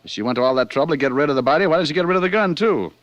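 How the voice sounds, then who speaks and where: An actor playing a slick, wisecracking private detective in 1940s radio style, sardonic and flirtatious. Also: male voice, a little high, expressive, very fast, no room sound, dull audio, natural voice. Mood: earnest, insistent. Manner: sardonic, flirtatious banter and first-person narration. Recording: 1940s radio broadcast with music.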